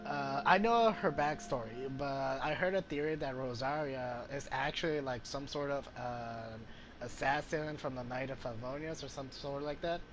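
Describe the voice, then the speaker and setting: A man's voice: mid-range voice, normal speed, music in the background. A man giving casual, hesitant gameplay commentary as a streamer, often searching for words. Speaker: game streamer. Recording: clearly noisy home recording with ambience.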